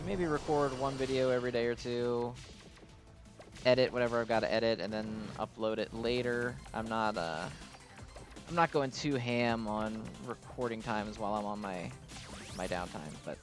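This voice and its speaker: A man giving casual, unscripted game commentary as a channel host, rambling and often hedging his opinions. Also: medium pitch. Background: music.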